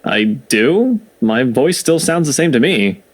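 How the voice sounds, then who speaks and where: A man's voice: mid-range voice, sing-song, slow pace, husky, exaggerated voice. A man voicing a caring, easygoing young friend in a visual novel, with a casual, conversational delivery. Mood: casual, apologetic.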